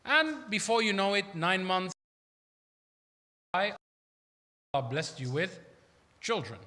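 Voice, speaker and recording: A man's voice: high-pitched, sing-song, slow, sharp tone. A man from Iraq preaching a sermon in a conversational, emphatic manner, with rhetorical questions. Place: large hall at an Islamic centre. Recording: echoey hall with long reverb.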